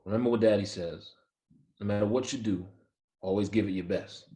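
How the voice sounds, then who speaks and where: A man's voice: lowish voice, a little fast, mellow, lightly acted. An actor playing a proud, demanding baseball-star father in a dramatic script reading. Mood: reassuring. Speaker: actor in a screenplay table read. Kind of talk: dramatic table read.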